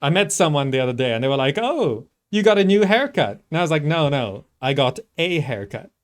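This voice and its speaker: A man's voice: a little high, big pitch swings, a little fast. A man giving casual live commentary as he streams a strategy game, thinking aloud as he plays. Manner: thinking aloud while playing.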